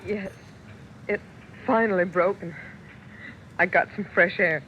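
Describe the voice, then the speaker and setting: An actress playing a frightened wife in an old radio drama, earnest and dramatic. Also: female voice, fairly low pitch, fairly even intonation, measured pace, a little hiss or hum, lightly acted. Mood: shaken. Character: frightened wife who believes her husband is trying to kill her. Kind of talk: 1940s-1950s radio drama acting. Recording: dull old-time radio recording with music behind.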